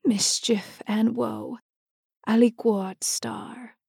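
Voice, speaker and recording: A mysterious storybook catfish spirit, played by a woman, croons strange rhymes of mischief in a hoarse whisper. The delivery is very slow and chant-like, breathy and close, with a dry sound. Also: fairly high pitch, fairly even intonation, warm tone, no echo, exaggerated voice. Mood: eerie crooning. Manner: slow half-sung incantation with slurred fishy 'ish' word endings. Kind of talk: storybook character voice.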